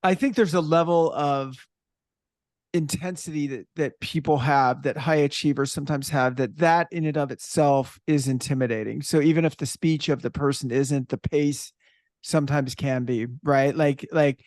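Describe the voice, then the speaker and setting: Male podcast host, casual and reflective, slightly high voice, dry close recording. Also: fairly even intonation, moderate pace, a little mellow, no echo. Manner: casual, reflective, thinks aloud with fillers. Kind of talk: podcast interview.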